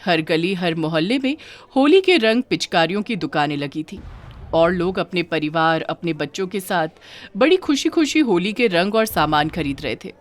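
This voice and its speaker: A woman's voice: fairly low pitch, lively intonation, moderate pace. A woman reading a scripted children's moral story as its narrator.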